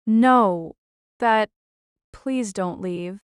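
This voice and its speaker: A woman playing a distraught young daughter in an audio drama, pleading for her father in breathy cries. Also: mid-range voice, extremely slow, no room sound, clean studio sound. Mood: desperate pleading.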